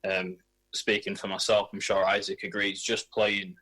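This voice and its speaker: A man's voice: deep voice, flat delivery, moderate pace, bright, forceful tone, breathy edge. A man in his twenties, a footballer, answering questions in a casual radio interview. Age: 20s.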